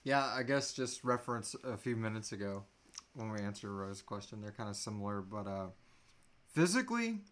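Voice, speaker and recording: A man's voice: lowish voice, lively intonation, normal speed, a bit bright. A man in his thirties chatting casually and jokily off the cuff as host of a live Q&A, at a computer mic in a small room at home. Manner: jokey, playful. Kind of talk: casual off-the-cuff livestream chat.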